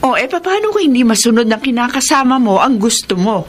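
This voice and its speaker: Lively, admonishing big sister, highish voice. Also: female voice, a little fast. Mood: chiding. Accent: Filipino. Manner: chiding, matter-of-fact. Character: sensible, admonishing older sister giving relationship advice. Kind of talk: Filipino radio drama acting.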